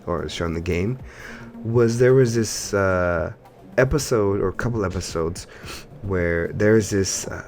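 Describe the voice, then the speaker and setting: A man with a fairly low voice talks as a podcast host. His storytelling is animated, informal and slangy, like loose conversation about games. Faint background noise sits under the recording. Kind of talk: casual gaming podcast chat.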